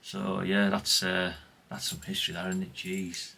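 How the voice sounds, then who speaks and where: A man's voice: low voice, little pitch movement, moderate pace, vocal fry, pushed and bright. A middle-aged man chatting casually and colloquially in a rambling vlog, often tagging questions onto what he says. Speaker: vlogger, radio collector.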